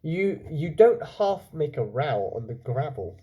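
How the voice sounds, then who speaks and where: A man voicing a sly, bossy boy schemer in a children's story, brisk and persuasive as he gives orders. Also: fairly high pitch, very animated, mellow. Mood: brisk, scolding.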